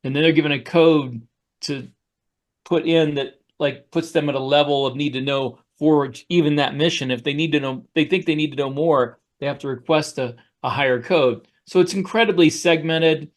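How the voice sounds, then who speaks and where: Higher-voiced man telling casual, rambling stories. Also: moderate pace. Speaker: podcast co-host, author. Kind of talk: casual anecdotal chat.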